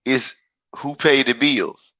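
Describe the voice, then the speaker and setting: A man's voice: mid-range voice, steady tone, takes it slowly, bright, forceful tone, no echo, lo-fi sound. A man with a big voice, talking as a guest in a casual live-stream chat, rambling and emphatic, often repeating himself.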